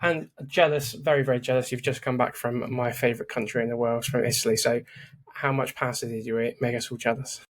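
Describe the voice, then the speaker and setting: A man's voice: mid-range voice, fairly even intonation, fairly quick, poor audio. A man hosting a podcast, talking casually and restarting his sentences often. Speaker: podcast host. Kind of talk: casual interview chat.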